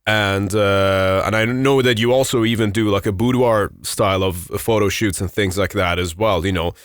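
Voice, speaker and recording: A man from Iran hosts the conversation in a friendly, rambling way, with lots of "you know" and "um" between thoughts. His voice sits fairly low. The sound is dry and close, with almost no room echo. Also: normal speed. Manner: friendly, filler-heavy, meandering questions. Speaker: podcast host, interviewer. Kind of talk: casual interview conversation.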